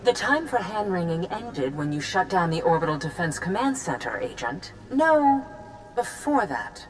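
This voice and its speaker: An actress playing a stern, cold chancellor in a video game, formal, commanding and condescending. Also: female voice, fairly low pitch, big pitch swings, normal speed, a little hiss or hum. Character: imperious female government chancellor, stern and cold. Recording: long hall reverb, music behind.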